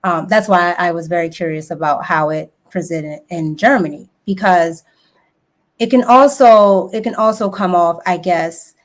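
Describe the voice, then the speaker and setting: American woman host, slightly low voice, probing and thoughtful. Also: moderate pace. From United States. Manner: thoughtful, probing questions with "right?" tags. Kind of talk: interview questions.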